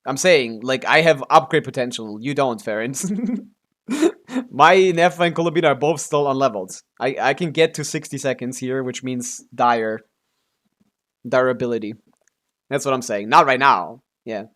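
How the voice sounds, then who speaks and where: A man's voice: medium pitch, moderate pace, a bit bright. A man giving casual live game stream commentary, thinking aloud as he talks through his options.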